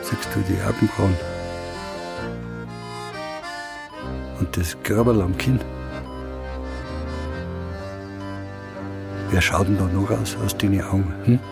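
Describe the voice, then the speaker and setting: An actor playing an older, weakened but insistent hospital patient in a radio drama. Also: male voice, deep voice, fairly even intonation, brisk, creaky, music in the background. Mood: insistent, confiding.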